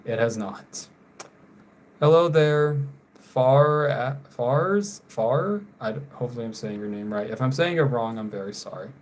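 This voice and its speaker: Young male streamer from North Carolina, unhurried, laid-back chat, drifts quieter at the ends of phrases, apologetic. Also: mid-range voice, lively intonation. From North Carolina, USA. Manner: slow, trailing off quietly, apologetic about names. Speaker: live streamer. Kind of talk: casual live stream chat while drawing.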